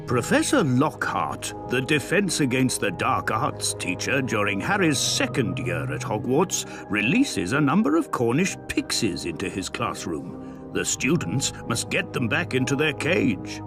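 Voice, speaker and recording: A man narrating a wizard tale for a video game in a scripted storybook style. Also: mid-range voice. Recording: light music behind the voice.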